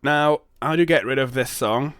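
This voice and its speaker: A man hosting a casual, unscripted software demo video, thinking aloud in hesitant, stop-and-start phrases. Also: mid-range voice, normal speed, smooth, no echo, soft background music. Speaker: host of a Linux software video channel.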